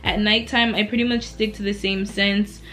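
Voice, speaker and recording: A woman in her early twenties chatting casually through a Q&A vlog while lying back on her bed at home, relaxed and laughing often between answers. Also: medium pitch, normal speed, soft background music, poor audio. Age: 20s. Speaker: beauty and lifestyle YouTuber.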